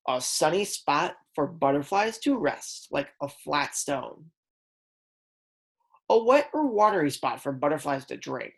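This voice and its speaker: A woman's voice: low-pitched, vocal fry. A woman kindergarten teacher reading aloud a lesson for young children, explaining words simply and prompting her pupils.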